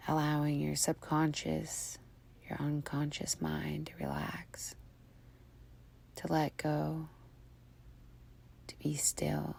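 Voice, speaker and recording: A woman leading a guided meditation in a breathy, near-whispered voice, soothing and hushed, with long pauses between phrases. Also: low-pitched, a little hiss or hum.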